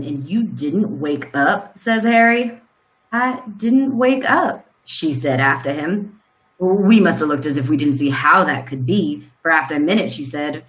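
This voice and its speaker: A woman playing a plain rural farmer in a live radio play, telling a grim story in a halting, folksy way. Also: fairly low pitch, a bit bright, poor audio.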